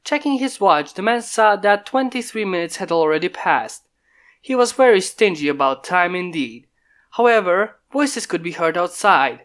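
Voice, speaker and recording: A man reading a fan story aloud in steady, plain narration. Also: high voice, moderate pace. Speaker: fanfic reader. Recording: mic pops from a failing microphone.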